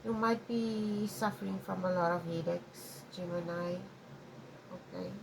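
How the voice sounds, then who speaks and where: A woman's voice: lowish voice, fairly even intonation, a little slow, gravelly, background noise. A woman whose first language is Afrikaans, giving a rambling intuitive tarot reading and often checking in with "okay?". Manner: rambling, many restarts and frequent "okay?". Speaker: tarot reader.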